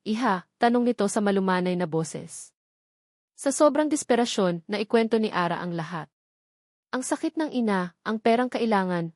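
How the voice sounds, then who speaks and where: A Filipino woman narrates an audiobook drama in a scripted, storytelling style. Her voice sits at an ordinary female pitch, and the sound is dry with very little room in it. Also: darkish tone, no echo.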